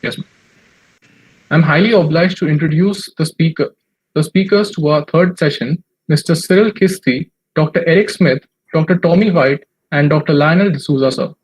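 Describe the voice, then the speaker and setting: A conference anchor formally thanking a speaker and introducing the next panel from a script. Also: female voice, low voice, normal speed, a little mellow, slightly rough, poor audio. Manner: formal, effusive, reading from a script.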